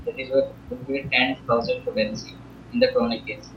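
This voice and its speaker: A man's voice: mid-range voice, level tone, brisk, a little hiss or hum. Indian man on a muffled, echoey line. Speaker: homeopath co-host. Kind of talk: explaining to an interviewer. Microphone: video call.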